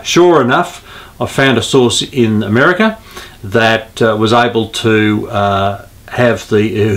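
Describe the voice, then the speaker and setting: A man, explaining a hobby project informally, in halting phrases with pauses. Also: medium pitch. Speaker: host of an amateur radio hobby video. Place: small indoor radio room at home.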